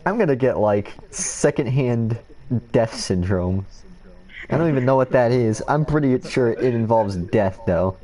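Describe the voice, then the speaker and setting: A man at a middle pitch with a lively, up-and-down voice, commenting dryly on what he hears. His remarks come across as disgusted asides in casual chat among friends. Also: measured pace. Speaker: friend listening to the reading.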